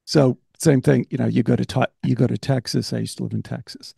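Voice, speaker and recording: A man's voice: mid-range voice, fairly quick, creaky, husky, no echo. A man in his sixties, a podcast host, chatting animatedly on a video call into a studio mic. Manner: animated storyteller, quotes others in voices. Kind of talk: casual conversation. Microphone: large studio mic on arm.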